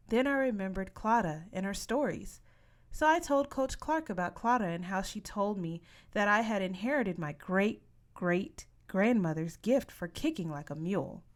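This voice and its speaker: A woman reads a story in the first person, as for an audiobook. Her voice sits at a middle pitch, and her delivery is expressive and narrative. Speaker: audiobook reader.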